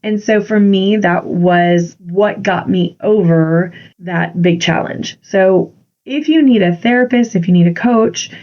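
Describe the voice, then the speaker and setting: A woman's voice: medium pitch, a little slow. A woman with a congested voice hosting a solo podcast, speaking earnestly and encouragingly as a confidence coach. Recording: dull lo-fi recording.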